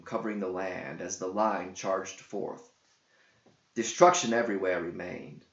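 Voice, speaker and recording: A man's voice: fairly low pitch, fairly bright tone. A man reading a verse epic aloud as a theatrical, declamatory storyteller. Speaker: narrator, author reading own verse epic. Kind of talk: dramatic scripted verse narration. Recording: dull roomy echoing home recording.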